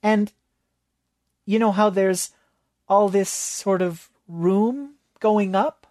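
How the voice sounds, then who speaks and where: A man voicing a frantic, scheming young female student who rambles in a high, pleading voice. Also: fairly even intonation, extremely slow, a little mellow, no echo, clean studio sound. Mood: sheepish.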